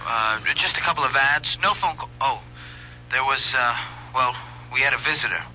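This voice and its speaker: A young actor playing a professor's guilty, nervous son who hesitates and stammers in a radio drama. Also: male voice, mid-range voice, normal speed, bright, forceful tone, a little hiss or hum. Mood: anxious. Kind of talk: 1970s radio drama acting. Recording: dull lo-fi old radio broadcast.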